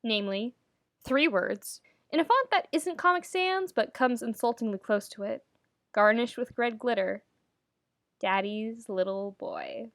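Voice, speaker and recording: A woman narrates fiction in an expressive storytelling style. She leans on phrases with wry, theatrical emphasis. The sound is dry, with very little room in it. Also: a little high, normal speed, no room sound. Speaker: podfic narrator reading fan fiction.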